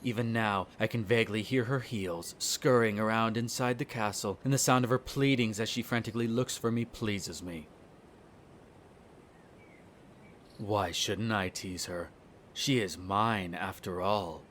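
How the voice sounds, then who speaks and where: Man playing a haughty, low-voiced vampire lord. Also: normal speed, fairly bright tone, slight background noise, lightly acted. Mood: smug, disdainful. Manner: condescending, haughty, formal drawl. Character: smug, cruel male vampire noble, haughty and teasing. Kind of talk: scripted audio roleplay acting.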